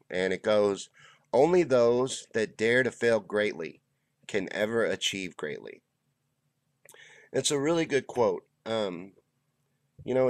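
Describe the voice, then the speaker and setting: A middle-aged man with a stuffy, congested voice, hosting a motivational monologue in a small home room on a close studio mic. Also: on the low side. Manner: earnest, pep-talk delivery with trailing pauses. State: congested, under the weather. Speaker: host. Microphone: studio mic close to the mouth.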